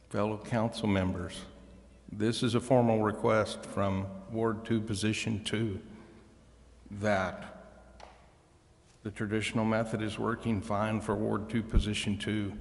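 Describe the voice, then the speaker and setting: A man delivering a deliberate, formal statement as a city council member. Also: fairly low pitch. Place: council chamber. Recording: reverberant council chamber.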